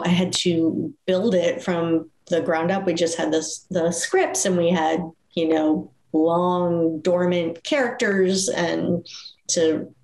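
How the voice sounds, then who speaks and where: A woman with a fairly low voice answers as an interviewed producer. She is casual and reflective, pausing to find her words. Her audio has a clearly roomy, echoing sound. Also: a little mellow. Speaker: animation producer being interviewed. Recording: roomy echo.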